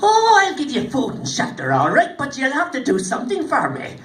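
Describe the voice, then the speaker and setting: A storyteller putting on a squeaky little hairy man. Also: wildly swooping pitch, normal speed, a bit bright, heavily acted. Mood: sly. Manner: high squeaky sly voice. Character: tiny old man covered in hair, cunning. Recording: echoey room with background noise.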